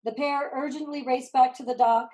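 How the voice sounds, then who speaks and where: Female narrator for stage directions, slightly high voice, scripted play-reading delivery. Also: steady tone, fairly quick, a little mellow.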